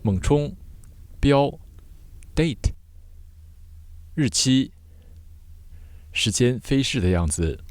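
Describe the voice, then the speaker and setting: A man teaching vocabulary word by word in measured, short separated phrases, as a scripted lesson. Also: mid-range voice, animated, a little mellow, no room sound.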